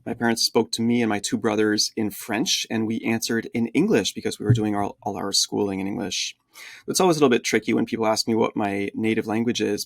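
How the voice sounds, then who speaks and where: Gravelly-voiced man telling his story. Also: lowish voice, dry recording. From United States. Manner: animated storyteller, frequent fillers. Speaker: guest, translation agency founder. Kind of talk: conversational podcast interview.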